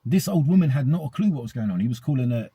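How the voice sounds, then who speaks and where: A man's voice: medium pitch, expressive, racing, dark tone, slightly rough, no echo. A man with a British accent co-hosting a podcast, giving opinions in casual conversation with an emphatic, colloquial manner and repeating phrases for emphasis. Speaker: podcast co-host.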